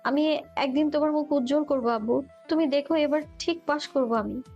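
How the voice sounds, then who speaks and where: Casting: woman to play wounded, loving daughter in an audio story, young, high soft tone, dull recording. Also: a little fast, soft background music. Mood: tearful affection.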